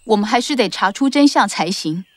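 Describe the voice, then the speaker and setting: A woman's voice: medium pitch, animated, a little fast, no echo, studio quality, natural voice. A woman voicing a concerned, caring but firm mother goat in a children's cartoon. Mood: worried.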